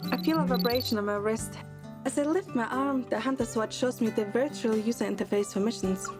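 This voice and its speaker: Game story lead: woman as earnest, curious young recruit, higher voice, first-person narration, music underneath. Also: a little fast, lightly acted. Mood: attentive.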